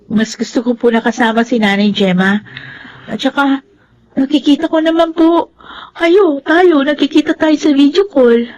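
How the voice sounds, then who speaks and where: A woman's voice: high-pitched, normal speed, a little mellow, natural voice, low-quality recording. A woman playing a caring, earnest young Filipino daughter in a radio drama, speaking affectionately and respectfully. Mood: earnest, insistent. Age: young adult.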